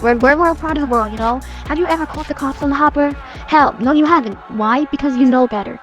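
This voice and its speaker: A woman's voice: sits high, lightly acted. A woman playing a talkative young woman who rambles as she tries to reassure and persuade a friend. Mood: persuasive.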